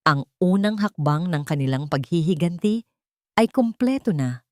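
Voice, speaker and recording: A woman's voice: lowish voice, lively intonation, moderate pace, darkish tone, no echo, studio quality. A woman giving scripted, dramatic narration of a story as its narrator.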